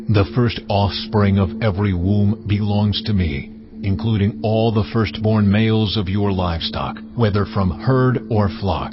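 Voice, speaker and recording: A solemn commanding God. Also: male voice, on the low side. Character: the Lord, a solemn commanding male deity. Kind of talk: dramatized scripture reading. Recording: dull lo-fi audio with loud music. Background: cinematic music.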